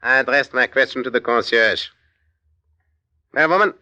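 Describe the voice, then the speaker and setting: An actor playing a curt, commanding officer who interrogates brusquely in an old-time radio drama. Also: male voice, medium pitch, moderate pace, pushed and bright, highs cut off. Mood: stern, suspicious. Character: curt, commanding male officer in occupied France.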